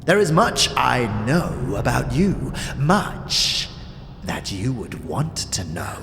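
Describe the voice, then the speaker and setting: An actor playing a withered, sly and contemptuous old underworld boss in a fantasy audio drama, creaky and often dropping into breathy, taunting whispers. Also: male voice, medium pitch, wildly swooping pitch, a bit bright, slight background noise, full character voice. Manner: theatrical, taunting, drawn-out phrases with street slang. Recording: long hall reverb, music behind.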